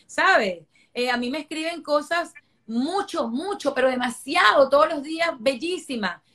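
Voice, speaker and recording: A Venezuelan woman in her forties with a bright, high voice. She talks emphatically as an interview guest, stressing points by repeating them. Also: lively intonation, moderate pace. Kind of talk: conversational interview.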